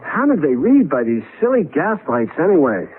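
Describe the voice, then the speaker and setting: Agitated stammering husband, tinny vintage radio. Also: male voice, a little high, sing-song, normal speed. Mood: puzzled, excited. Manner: stammering, urgent. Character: agitated husband displaced into his great-grandfather's era. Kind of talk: 1970s radio drama acting. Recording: narrow-band tinny vintage radio recording.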